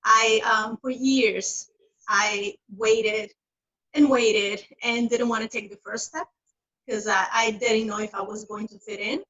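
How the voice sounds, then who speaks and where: A woman's voice: fairly high pitch, steady tone, slow pace, a bit bright. A woman in her fifties from the Dominican Republic, Spanish her first language, speaking earnestly and searching for words. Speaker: graduate. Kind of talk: video call conversation. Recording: dull lo-fi call audio.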